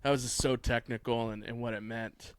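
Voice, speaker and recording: A man's voice: medium pitch, steady tone, fairly quick, clean tone, dry recording. A man on a casual discussion panel, giving opinions in a blunt, rambling way with casual swearing. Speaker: podcast panelist. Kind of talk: casual opinionated sports chat.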